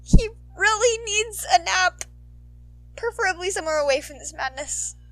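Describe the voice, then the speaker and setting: A woman, an amateur narrator, reading fan fiction aloud in an expressive, informal style. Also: extremely high pitch, moderate pace, no room sound, low-quality recording. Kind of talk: animated fan fiction narration, casual asides.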